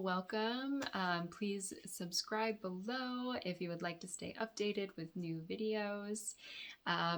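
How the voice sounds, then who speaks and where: A woman with a raspy, dry-throated voice giving a weekly tarot forecast, offering reflective, meandering guidance to her viewers. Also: lowish voice, a bit bright. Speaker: tarot reader and yoga therapist.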